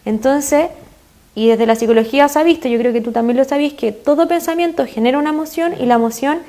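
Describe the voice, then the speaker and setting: A woman's voice: high-pitched, fast talker, dark tone. A woman with a Chilean accent, a guest expert in an interview, explaining earnestly with frequent fillers. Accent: Chilean Spanish.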